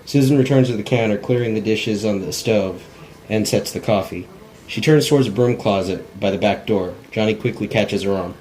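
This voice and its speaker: A man's voice: fairly low pitch, moderate pace, darkish tone, a little hiss or hum, poor audio. A man narrating script directions in a plain, unpolished cold read, stumbling now and then. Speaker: narrator reading stage directions.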